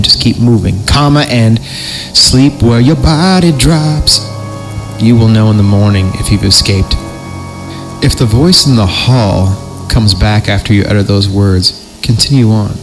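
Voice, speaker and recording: A man hosting a podcast, reading creepypasta horror stories in a comedic dramatic style with wry joking asides, over music. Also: lowish voice.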